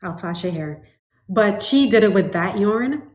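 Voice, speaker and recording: A woman's voice: mid-range voice, animated, measured pace, darkish tone. A woman in her forties from Trinidad, co-hosting a knitting podcast in casual chat, laughing often and trailing off with ums. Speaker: podcast co-host. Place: home living room, indoors. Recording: echoey room.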